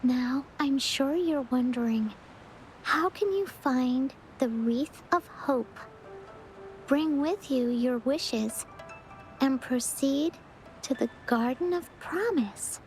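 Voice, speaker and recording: Game role: a gentle small girl, high voice, wistful and earnest, music underneath. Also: lively intonation, slow pace, heavily acted, low-quality recording. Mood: solemn, storylike. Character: wistful, gentle little girl who misses her mother. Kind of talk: video game character acting. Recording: music behind the voice.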